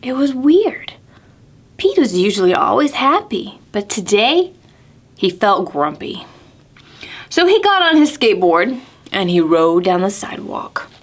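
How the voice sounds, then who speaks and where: A woman reading a picture book for preschoolers as a storytime presenter, with deliberate phrasing and dramatic pauses. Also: medium pitch, very animated.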